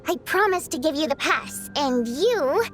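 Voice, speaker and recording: A woman voicing a playful female water imp stage performer in a game, lively and teasing. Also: sits high, soft background music. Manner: lively, teasing, quick to protest. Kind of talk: video game voice acting.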